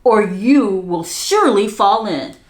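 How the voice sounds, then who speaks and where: A woman voicing a harried mother of two small boys in a storybook, calling out loudly. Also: medium pitch, expressive, reverberant. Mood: warning. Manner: calls out loudly over rushing water.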